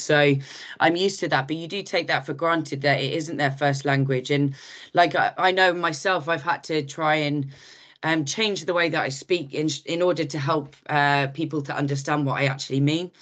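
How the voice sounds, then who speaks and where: A woman's voice: low voice, steady tone, normal speed. A woman hosting a podcast interview, asking long, rambling questions full of ums and restarts. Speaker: podcast host.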